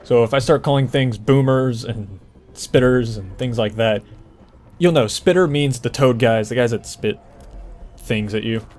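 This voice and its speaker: A man's voice: mid-range voice, a little slow, a little mellow, a little hiss or hum. A man doing casual, conversational gameplay commentary, explaining things as he plays, over game ambience. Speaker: gameplay walkthrough host. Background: video game ambience.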